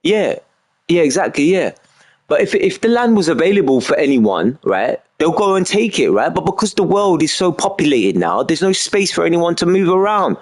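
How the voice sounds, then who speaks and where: A man's voice: a little high, big pitch swings, moderate pace. Emphatic man, rambling on stream. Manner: emphatic, repetitive, fills with "you know what I mean". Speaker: game streamer. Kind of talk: casual rambling monologue while gaming.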